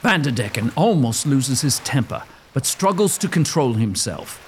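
A man's voice: mid-range voice, very animated, moderate pace, slight background noise. A man narrating a gothic audio drama as a scripted story, in a theatrical, suspenseful manner.

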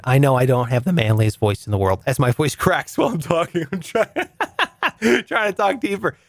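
A young man with a reedy, nasal voice tells comic stories as a show host. His pitch swings widely as he plays up mock outrage. The sound is close and dry, with no room echo. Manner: animated, mock-outraged storytelling. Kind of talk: comic monologue.

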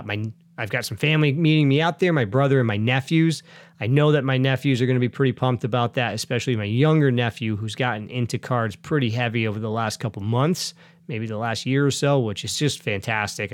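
A man's voice: medium pitch, no room sound. A middle-aged man hosting a casual solo podcast, chatty and rambling with self-corrections, a bit sniffly from a cold. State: getting over a cold, sniffly. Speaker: podcast host.

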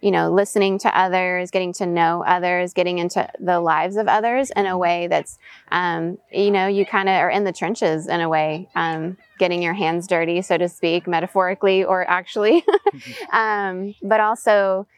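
Smooth, lower-pitched woman in conversation. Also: moderate pace, dry recording, studio quality. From raised in Venezuela, US-born. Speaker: interview guest. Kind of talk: conversational interview answers. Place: outdoors, in person.

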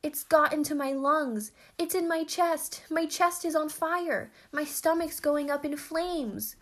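Woman playing melodramatic spluttering man, high and desperate. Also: a little slow. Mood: panicked agony. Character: melodramatic, spluttering manly young lover in agony.

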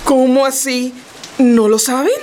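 An actress playing an anguished schoolteacher in a radio drama, speaking in a pressing, dramatic way. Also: female voice, high-pitched, fairly even intonation, unhurried, noisy background. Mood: agitated. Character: anguished, urgent schoolteacher woman warning her friends. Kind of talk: radio theatre acting.

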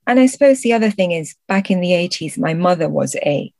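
Low-pitched woman, closely recorded. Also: animated, a little fast, gravelly, a little mellow, no room sound. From born in Guangzhou, China, moved to the UK at a young age. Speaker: podcast guest, literary translator. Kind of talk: conversational interview answers.

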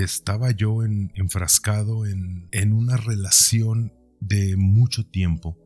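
Deep-voiced man, reflective podcaster. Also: warm tone. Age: 40s. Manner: conversational, introspective, often ending thoughts with a questioning tag. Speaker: podcast host. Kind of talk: reflective personal podcast monologue.

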